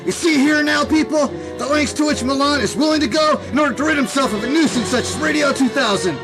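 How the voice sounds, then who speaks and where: A man's voice: very high voice, quick pace. A man acting an earnest, urgent rebel radio DJ in a science fiction audio drama. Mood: tense, defiant. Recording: music behind the voice.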